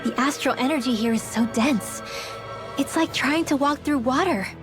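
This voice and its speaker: A woman's voice: high voice, moderate pace, loud music behind the voice, lightly acted. A woman playing an earnest teenage girl mage in a video game cutscene, with a youthful delivery.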